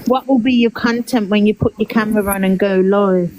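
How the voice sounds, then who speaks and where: A woman's voice: medium pitch, steady tone, a little fast, a little mellow, slight background noise, rough recording. A woman co-hosting a phone live stream in casual chat, curious and full of questions for the guests.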